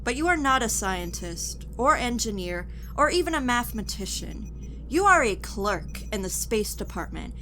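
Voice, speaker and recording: Female futuristic court official, acted by a woman: stern, scornful, formal, coldly clipped delivery, higher register, audio drama. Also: sing-song, moderate pace, fairly bright tone, soft background music. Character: stern, contemptuous female military judge of a futuristic technocracy. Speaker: actor.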